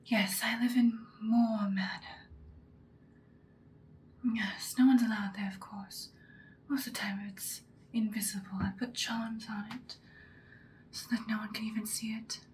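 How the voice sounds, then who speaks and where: A woman voicing an imperious, seductive ancient vampire in a breathy, dramatic whispered ASMR roleplay. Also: a little high, fairly even intonation, a little mellow, slight background noise, lightly acted. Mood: pondering, possessive. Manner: slow, deliberate, dramatic. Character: ancient female vampire, seductive, imperious, menacing yet protective.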